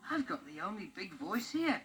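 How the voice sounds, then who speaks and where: A woman's voice: mid-range voice, sing-song, moderate pace, faint music behind, natural voice. A woman voicing a jittery, curious young boy on a space trip, stammering as he talks, in a 1970s storybook record. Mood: wondering. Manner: stammering, hesitant.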